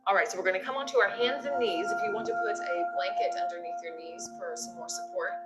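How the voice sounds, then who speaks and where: A woman's voice: fairly low pitch, fast, roomy sound, loud music behind the voice. A woman instructor guiding a yoga class in a conversational, encouraging way, giving step-by-step movement and breathing cues.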